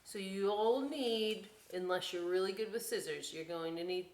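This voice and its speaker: A woman hosting a storytime for young children, reading expressively with drawn-out words and chatty, encouraging asides. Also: medium pitch, poor audio. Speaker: children's library storytime host.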